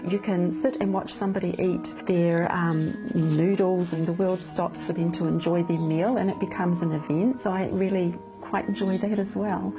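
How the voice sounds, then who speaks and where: A woman's voice: on the low side, fairly even intonation, normal speed, few pauses, rough recording. A woman talking about her own experience in an interview. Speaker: interviewee, ASMR fan. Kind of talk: interview talk. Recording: music bed behind voice.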